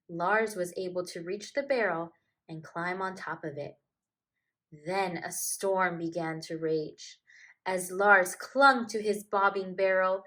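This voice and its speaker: A woman reads a children's picture book aloud like a teacher. Her voice is bright and forward, and she takes her time with the phrases. The delivery is expressive and emphatic, made for young listeners. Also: mid-range voice. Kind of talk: animated children's storybook narration.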